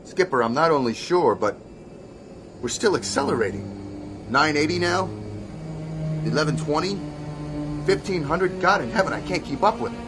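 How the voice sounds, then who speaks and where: An actor playing an earnest, easily alarmed flight navigator in a radio drama. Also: male voice, fairly high pitch, lively intonation, moderate pace, pauses often. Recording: engine noise behind the voice.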